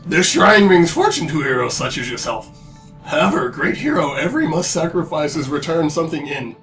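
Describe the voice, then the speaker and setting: A teasing, theatrical shrine spirit, acted out by a man, speaking in odd inverted phrases. The voice sits high and swings dramatically up and down. It is a little distant from the mic, with music underneath. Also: sing-song, brisk, a bit bright. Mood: coy, grandiose. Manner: stilted inverted syntax, theatrical.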